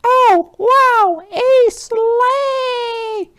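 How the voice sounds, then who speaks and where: A man voicing an excited little girl from a storybook, squealing and exclaiming. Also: extremely high pitch, extremely slow, dry recording, clean studio sound, voice pushed up, full character voice. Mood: thrilled.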